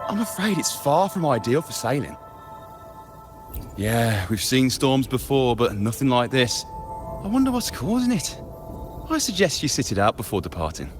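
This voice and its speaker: An actor playing a helpful, cautious harbour master in a video game, speaking in a courteous, formal way. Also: male voice, mid-range voice, huge pitch swings, normal speed, faint music behind. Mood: welcoming, concerned.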